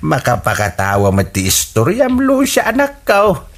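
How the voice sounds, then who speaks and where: Man playing a jolly, animated old Filipino father. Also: mid-range voice, slow pace, faint music behind, full character voice. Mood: amused. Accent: Filipino, Ilocano. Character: jolly old father chuckling with his daughter. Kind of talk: Ilocano radio comedy drama.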